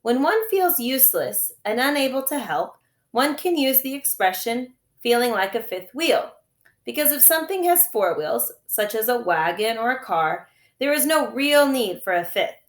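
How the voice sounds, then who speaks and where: Woman storybook narrator, teacher reading aloud, raised pitch, roomy echoing recording. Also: sing-song, moderate pace. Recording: echoey room.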